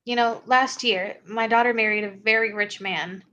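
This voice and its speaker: A woman playing a thoughtful, candid mother of a grown daughter. Her voice sits a bit high, and the acting is naturalistic, as at a table read. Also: fairly even intonation, normal speed, sharp tone, dull audio. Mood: sincere, confiding.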